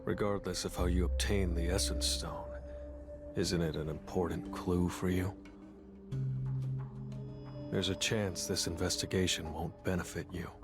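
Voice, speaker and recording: A cool young male hunter in a video game, guarded and serious. His voice is low and restrained. Music runs clearly underneath. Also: little pitch movement, unhurried, pauses often. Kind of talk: scripted video game cutscene acting. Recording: music behind the voice.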